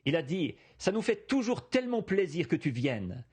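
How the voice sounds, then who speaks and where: A man interpreting a sermon, rendering it in a careful, even way. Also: a little high, moderate pace, slightly rough. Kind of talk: translated sermon voice-over.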